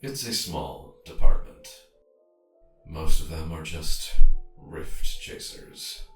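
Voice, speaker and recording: A man's voice: very deep voice, takes it slowly, bright, forceful tone, full character voice. A man playing a curt, impatient scientist in an audio drama, formal and precise, in an echoey space with music behind him. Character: curt, impatient male scientist, dry and learned. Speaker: doctor of science. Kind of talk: audio fiction dialogue.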